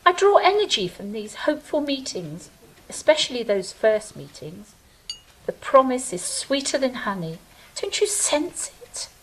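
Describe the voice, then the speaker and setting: A woman's voice: fairly high pitch, big pitch swings, measured pace, a little hiss or hum, low-quality recording. A woman playing an earnest, wistful angel in an audio drama, speaking in a plaintive, questioning and hopeful way. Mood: hopeful, dreamy. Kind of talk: theatrical audio drama dialogue.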